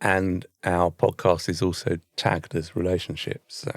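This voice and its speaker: A man's voice: low voice, flat delivery, a little slow, creaky. A British man talks casually as a podcast co-host. He questions and teases as he goes, in an everyday conversational way. The sound is dry, with no room echo. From Britain. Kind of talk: casual chat.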